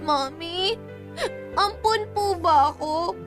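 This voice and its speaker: A woman's voice: extremely high pitch, takes it slowly, music in the background. A woman playing an innocent, easily hurt seven-year-old girl in a radio drama, with a childlike, earnest manner. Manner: childlike, earnest, polite to elders.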